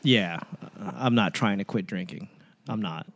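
A man's voice: lowish voice, measured pace, fairly bright tone. Profane joking male podcast host. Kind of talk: casual podcast chat.

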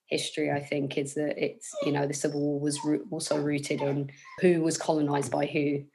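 A woman's voice: low-pitched, monotone, moderate pace, creaky, background noise. A woman with a London accent, a guest in a casual interview, talking thoughtfully with fillers and restarts. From England.

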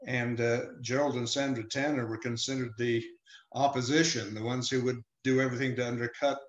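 An older man, a retired professor, answering interview questions in a measured, hesitant way with many pauses and fillers. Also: medium pitch, flat delivery, roomy sound. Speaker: emeritus professor, guest.

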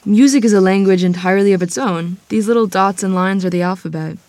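A woman's voice: mid-range voice, quick pace, a little mellow, dry recording, lightly acted. A woman acting a sturdy, proud, church-going Southern teacher in her fifties in a screenplay table read, firm, motherly and matter-of-fact.

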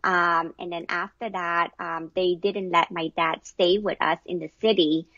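A woman's voice: fairly low pitch, level tone, unhurried, a bit bright, no room sound, muffled recording. A middle-aged woman from Vietnam, answering casually as an interview guest. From Vietnam, moved to the US at eleven.

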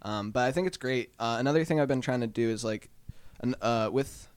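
A man's voice: mid-range voice, a little fast, smooth, dry recording. A young man from New Hampshire, a guest photographer, talking casually on a podcast with many fillers and restarts. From Manchester, New Hampshire.

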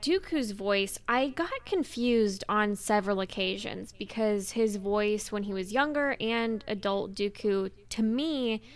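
A woman's voice: medium pitch, a little slow, few pauses, clear, resonant tone. A woman co-hosting a podcast, chatting casually as a fan in a discussion. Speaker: podcast co-host.

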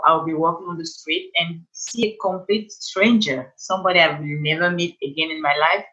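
A woman's voice: lowish voice, bright, forceful tone. A woman from Cameroon with a Cameroonian accent, telling her story in a chatty, self-amused way as a podcast guest. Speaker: podcast guest, life coach. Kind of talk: casual interview talk. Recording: dull lo-fi remote line.

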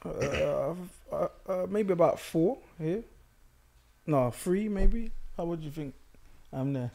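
A man's voice: on the high side, animated, slow, vocal fry, a little mellow. A young man co-hosting a podcast, talking casually and hesitating with frequent ums. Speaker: podcast co-host. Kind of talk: casual chat.

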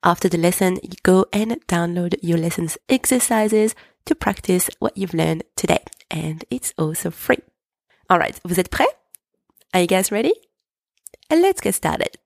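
A woman hosting a podcast lesson, patiently explaining and repeating French examples slowly. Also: lowish voice, animated, vocal fry, dry recording, clean studio sound. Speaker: French teacher, podcast host.

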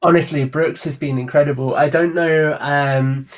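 A man's voice: on the high side, fairly even intonation, normal speed. A man talking casually as a guest on a podcast about his creative work. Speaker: podcast guest, audio drama writer and producer.

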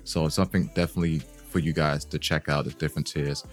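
Young male podcast co-host, low voice, loose and jokey, light music under him. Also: fairly even intonation, fast. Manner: loose, joking, swears casually. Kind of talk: casual chat.

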